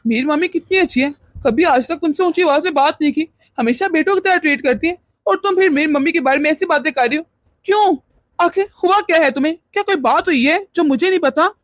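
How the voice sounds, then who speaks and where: एक औरत घरेलू मेलोड्रामा कहानी में माँ के भक्त, नरम और उलझन में पड़े जवान पति का किरदार निभा रही है, जो घबराकर शब्द दोहराता है।. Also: female voice, high voice, quick pace, no echo, lightly acted. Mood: bewildered, defensive. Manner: flustered, repeats words in questions. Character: young husband, devoted son, bewildered and mild. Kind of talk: melodramatic domestic story acting.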